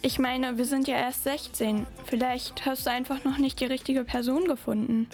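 Brief: upbeat teenage boy, voiced by a girl, high, light voice. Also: fairly even intonation, normal speed, faint music behind. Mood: friendly. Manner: chatty, easy, reassuring. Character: chatty sixteen-year-old schoolboy, friendly. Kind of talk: school radio play acting.

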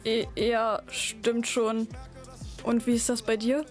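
A young woman voicing a shy sixteen-year-old schoolboy in a school radio play, talking hesitantly with pauses. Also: fairly high pitch, little pitch movement, in a large hall, faint music behind.

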